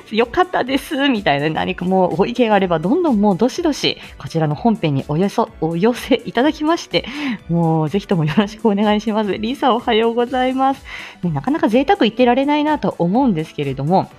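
A woman's voice: mid-range voice, very animated, quick pace, a little mellow, faint music behind. A middle-aged woman hosting a casual morning livestream, chatting politely and gigglily with her listeners and making announcements. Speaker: host of a live audio show; speech-language therapist.

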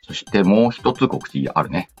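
A man's voice: low-pitched, sing-song, moderate pace. A man hosting a casual radio-style show, reading out letters and announcements with playful asides. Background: background music.

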